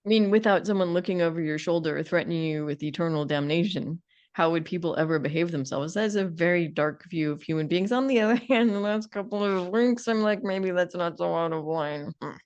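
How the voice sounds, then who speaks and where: A woman's voice: fairly low pitch, a little fast, a little mellow, lo-fi sound. A woman co-hosting a podcast in casual discussion, musing wryly and often breaking off to rephrase her thoughts. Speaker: podcast co-host.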